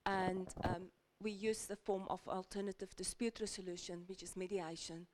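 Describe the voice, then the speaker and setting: A woman's voice: medium pitch, steady tone, normal speed, a little mellow, slight background noise. A woman from South Africa, a lawyer on a panel, talking hesitantly with many ums and restarted sentences. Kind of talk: panel discussion. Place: large conference hall.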